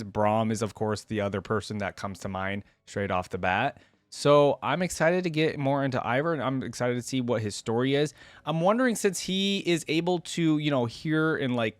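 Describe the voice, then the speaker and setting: A man's voice: medium pitch, no room sound. A man hosting a reaction video, reading a story aloud expressively and adding casual commentary.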